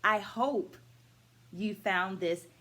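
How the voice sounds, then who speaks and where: A middle-aged woman, a dance educator, talking through her takeaways from a book in a measured vlog, stacking her points in lists and asking viewers to comment. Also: mid-range voice, bright, forceful tone. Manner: asks viewers questions and invites comments.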